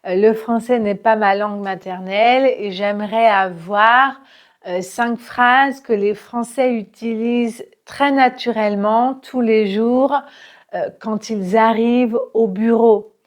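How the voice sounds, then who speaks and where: A woman teaching her language in a relaxed spoken lesson, patient and full of small hesitations. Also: on the high side. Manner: slow, patient, with frequent hesitations and fillers. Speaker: French teacher.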